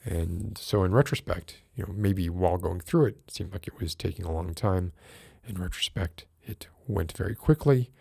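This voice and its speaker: A man's voice: deep voice, normal speed, vocal fry, few pauses, darkish tone, breathy edge. A man in his forties hosting a livestream, musing and rambling in a wry way and greeting viewers in chat by name. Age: 40s. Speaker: livestream host.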